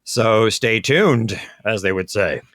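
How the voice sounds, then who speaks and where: Man, podcast host, low voice, chatty, dry close sound. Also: takes it slowly, dry recording. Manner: relaxed, chatty. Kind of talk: casual chat.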